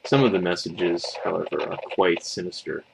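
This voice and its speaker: A man's voice: low-pitched, moderate pace, creaky, noisy background. A man reading a scripted creepypasta as a horror story narrator.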